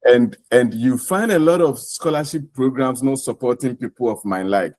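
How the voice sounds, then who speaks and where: A man with a Ghanaian accent answering interview questions off the cuff, in deliberate, halting and emphatic phrases. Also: mid-range voice, darkish tone, dry recording. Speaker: interview guest, scholarship winner.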